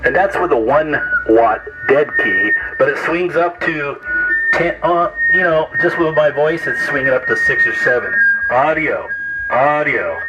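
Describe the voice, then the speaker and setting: A man's voice: a little high, lively intonation, a little slow, loud music behind the voice, poor audio. An American man giving a casual, folksy hands-on demonstration as a video host, chatting to viewers as he works. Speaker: radio repair hobbyist and video host.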